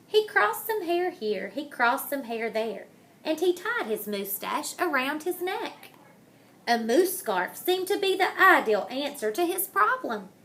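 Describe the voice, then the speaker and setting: A woman reading a children's picture book aloud in a dramatic, deliberate manner, savoring the rhymes and wordplay. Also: high voice, sing-song, a bit bright. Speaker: storybook reader.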